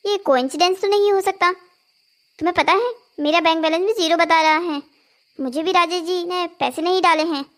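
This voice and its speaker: A woman's voice: very high-pitched, moderate pace, fairly bright tone. A woman voicing a young, complaining daughter-in-law in a melodramatic Hindi cartoon family drama. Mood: puzzled.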